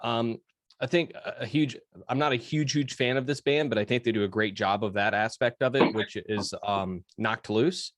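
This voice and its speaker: A man's voice: mid-range voice, normal speed. A man hosting an interview, easygoing and affirming, using many fillers. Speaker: interview host. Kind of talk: casual interview chat.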